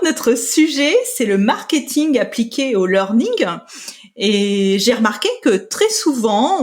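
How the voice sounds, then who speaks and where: A woman hosting a podcast, asking her guest questions in a friendly, conversational way. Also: on the high side. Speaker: podcast host. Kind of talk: interview questions.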